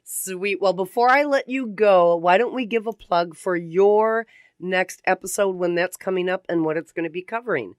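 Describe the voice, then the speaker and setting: A woman's voice: lowish voice, normal speed, smooth, no room sound, clean studio sound. A woman hosting a casual radio interview, talking in an enthusiastic, effusive way. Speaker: radio talk show host. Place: radio station studio.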